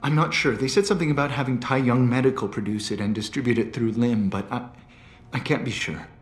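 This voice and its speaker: A man's voice: medium pitch, fairly even intonation, fairly quick, natural voice. An actor playing an anxious, timid captive male scientist in a video game, speaking in a hesitant, faltering way. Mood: nervous, doubtful. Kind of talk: video game cutscene dialogue. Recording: roomy game cutscene with music. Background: background music.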